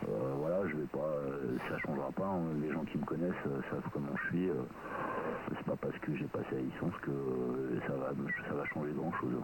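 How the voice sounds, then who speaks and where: Casting: man, extremely low voice, rambling casual radio chat, heavy noise on the transmission. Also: brisk. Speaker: amateur radio operator.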